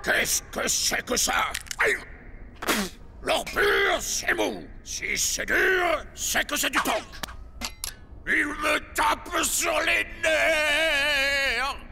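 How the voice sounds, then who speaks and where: A man voicing a blustering, bossy, tantrum-prone space pirate captain in a children's cartoon, with a gravelly, theatrical bark. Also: very high voice, very slow, shouting, long reverb tail, noisy background, exaggerated voice. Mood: exasperated. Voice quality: gravelly, creaky. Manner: barking, theatrical, exaggerated.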